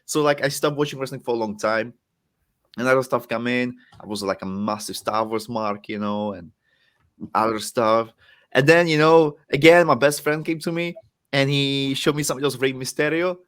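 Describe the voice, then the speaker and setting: A man's voice: mid-range voice, moderate pace, fairly bright tone. A young man from the Czech Republic with a Czech accent, a guest wrestler rambling and joking about himself in casual chat. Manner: rambling, self-mocking storyteller.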